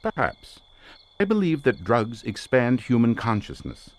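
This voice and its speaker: An actor playing an obsessive, eccentric occult researcher in a radio suspense drama, lecturing in grand theatrical phrases. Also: male voice, mid-range voice, huge pitch swings, a little slow. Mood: visionary fervor. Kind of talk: old-time radio suspense drama acting.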